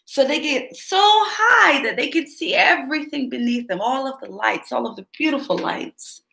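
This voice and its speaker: Expressive woman reading a kids' story. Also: sits high, moderate pace, gravelly. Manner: expressive, teacherly, asks kids questions. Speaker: children's story reader. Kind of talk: read-aloud storytelling for young kids. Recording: roomy echo.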